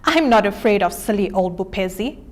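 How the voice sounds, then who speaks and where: A woman's voice: medium pitch, animated, a little fast, natural voice. A woman voicing a small, clever, boastful male jackal trickster in a children's storybook, with theatrical exclamations. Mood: cheeky.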